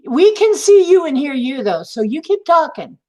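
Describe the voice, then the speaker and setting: Chatty woman stream host, high voice that swoops around, slow and keen. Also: big pitch swings, mellow, poor audio. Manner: enthusiastic, encouraging, repeats herself. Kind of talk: casual chat.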